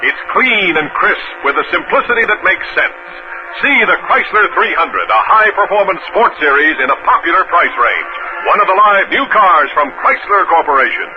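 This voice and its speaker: Male American commercial announcer, 1960s car-ad read, high register, dull old radio band with music. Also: noisy background.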